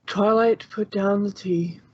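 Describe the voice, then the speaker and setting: Casting: female storybook narrator, mid-pitched, reading prose on a close, slightly dull, dry mic. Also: steady tone, a little slow, a little mellow, breathy edge. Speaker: fan fiction narrator.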